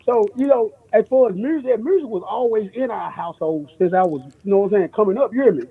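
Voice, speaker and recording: Music producer guest: man, high raspy voice, slangy storyteller, dull lo-fi sound. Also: quick pace. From born in New York, grew up in Memphis. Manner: animated, slangy, repeats himself for emphasis. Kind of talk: casual storytelling chat.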